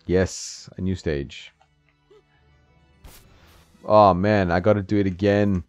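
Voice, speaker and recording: A man's voice: sits low, flat delivery, slow pace, dry recording. A man in his thirties giving casual, joking commentary while he plays a game at home, laughing often and talking to the screen. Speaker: gameplay streamer. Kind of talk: casual let's-play commentary. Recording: slightly dull, dry sound with game music.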